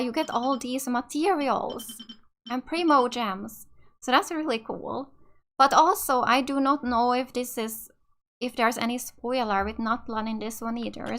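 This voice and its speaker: A woman's voice: a little high, fairly bright tone. A woman from Finland with a Finnish accent giving casual, slightly hesitant let's play commentary as a gaming YouTuber. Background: game music.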